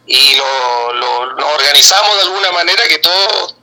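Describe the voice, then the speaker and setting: A man from Chile, a band member answering interview questions in a relaxed, hesitant way with drawn-out pauses. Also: on the high side, reverberant, poor audio.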